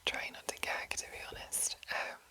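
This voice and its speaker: A woman hosting ASMR, chatting and reading aloud in a soft, breathy, unhurried whisper. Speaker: ASMR host.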